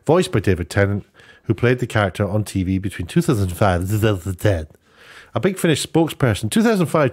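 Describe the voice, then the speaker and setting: A man's voice: on the low side, a little mellow. A man presenting an online video, giving off-the-cuff, opinionated news commentary in a rambling way, often rephrasing himself.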